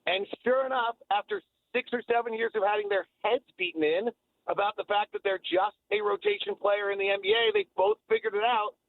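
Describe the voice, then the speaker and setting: A man's voice: sits high, creaky, no room sound, phone-quality sound. A man giving emphatic, opinionated basketball analysis in a sports radio conversation, repeating phrases to drive points home. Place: radio talk show.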